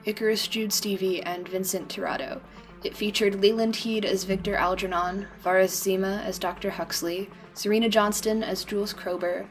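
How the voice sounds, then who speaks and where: A woman's voice: mid-range voice, rough recording. A woman announcing scripted podcast credits as a narrator. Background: music.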